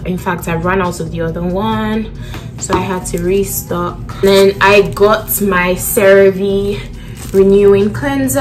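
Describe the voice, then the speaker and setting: A young woman in her twenties chatting casually to her phone camera in a home kitchen, rambling with lots of fillers. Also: medium pitch. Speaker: vlogger. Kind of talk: casual vlog chat. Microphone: phone camera at arm's length.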